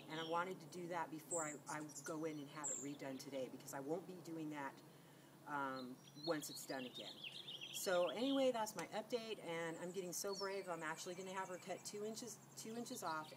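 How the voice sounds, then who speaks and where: A middle-aged woman, nasal from a cold, chatting casually to camera and rambling with many fillers and restarts. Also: fairly low pitch. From California. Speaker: beauty vlogger. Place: outdoors against a sunlit wall. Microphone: camera on a tripod. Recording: noisy outdoor camera audio.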